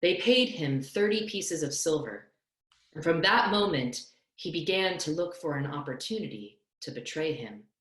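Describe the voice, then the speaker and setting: A young woman with a notably low voice reads scripture as narrator. Her delivery is measured and solemn. The sound is a roomy, echoing video call from a small home room. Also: animated, a bit bright. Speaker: clergy member reading as narrator. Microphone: computer on a video call.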